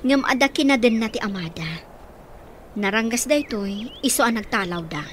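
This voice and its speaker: A woman's voice: on the high side, lively intonation, moderate pace, slight background noise, lightly acted. An actress playing a concerned, helpful woman in an Ilocano radio drama, speaking in an earnest, conversational way. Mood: earnest appeal. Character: concerned helpful woman taking in orphaned children.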